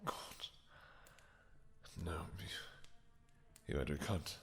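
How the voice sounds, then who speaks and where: A man playing a devoted, guilt-ridden vampire king in an intimate romantic fantasy roleplay, speaking haltingly with emotion and formal, archaic endearments. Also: fairly bright tone. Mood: shocked, grave. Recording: long hall-like reverb.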